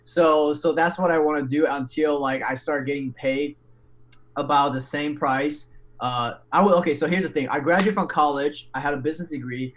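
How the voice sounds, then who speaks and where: A man, an English speaker, telling his story as a podcast guest in an animated, candid way with frequent restarts and casual swearing. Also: on the high side, normal speed. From Taiwan.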